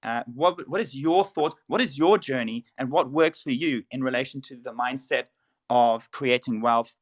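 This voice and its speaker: A man's voice: medium pitch, normal speed. Earnest man moderating a panel. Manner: earnest, motivational, with self-corrections. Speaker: room moderator. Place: online audio chat room.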